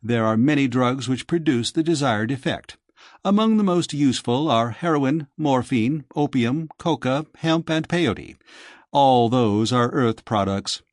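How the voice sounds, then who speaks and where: A man's voice: medium pitch, a little slow, no room sound, studio quality, lightly acted. A man voicing a sleek young dream-shop manager in an audiobook, with polished, formal, lecturing sales patter. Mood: suave, instructive.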